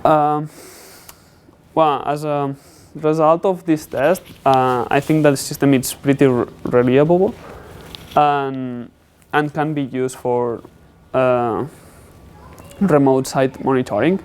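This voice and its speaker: A man giving a student technical presentation in halting, English. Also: mid-range voice, steady tone, a little mellow, a little hiss or hum. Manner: halting, non-native English phrasing. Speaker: student presenting a capstone project.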